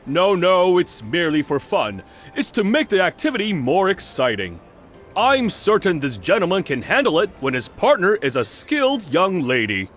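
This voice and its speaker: A polite festival game host for a video game, high pitched, super animated, showy announcer type. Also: male voice, normal speed, soft background music, full character voice. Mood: genial, placating. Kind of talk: video game cutscene voice acting.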